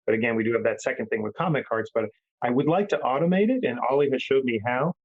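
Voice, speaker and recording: A man running a tour company who describes his guest feedback process in an earnest, halting way with frequent fillers. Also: medium pitch, narrow, tinny audio. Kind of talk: casual podcast chat.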